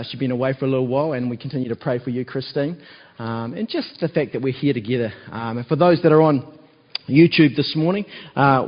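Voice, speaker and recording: A man with a croaky voice, filling in as preacher with a chatty church sermon full of ums and tag questions. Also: medium pitch. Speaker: lay preacher standing in for the pastor. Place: church hall. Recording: dull recording with long church hall reverb.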